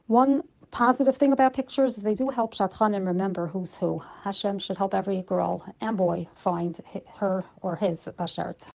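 A woman's voice: mid-range voice, steady tone, normal speed, vocal fry, few pauses, darkish tone, dull audio. A woman giving a practical, direct opinion.

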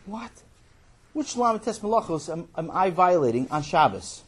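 Man as a coaxing, exasperated boy, husky. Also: high-pitched, big pitch swings, normal speed, voice pushed up, heavily acted. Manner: quiet, hesitant pleading and reasoning.

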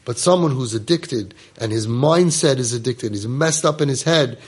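Husky-voiced rabbi giving an animated class. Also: male voice, on the high side. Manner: animated, mixes Hebrew and Yiddish words into English. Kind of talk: Torah class lecture.

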